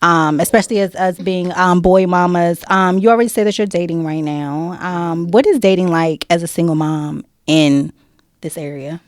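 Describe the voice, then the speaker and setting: A woman hosting a podcast, talking conversationally and quick to affirm her guests. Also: lowish voice, fairly even intonation, no echo, clean studio sound. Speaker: podcast host. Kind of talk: casual podcast chat.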